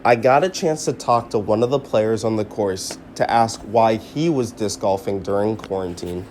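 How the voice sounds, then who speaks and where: A man's voice: fairly low pitch, moderate pace, a little mellow, a little hiss or hum. Upbeat male student reporter outdoors. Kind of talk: news package narration and stand-up. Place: outdoor park.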